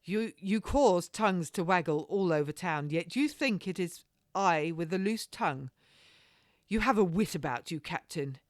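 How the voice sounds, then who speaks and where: A woman voicing a haughty, sharp-tongued mistress of a house in a period storybook, with indignant formal speech. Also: fairly low pitch, animated, moderate pace, no room sound, studio quality, natural voice. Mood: haughty, angry.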